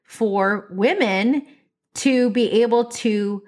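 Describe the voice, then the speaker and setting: A woman co-hosting a podcast in casual chat, talking in a bold, expressive, emphatic way. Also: a little high, slow pace. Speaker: podcast co-host.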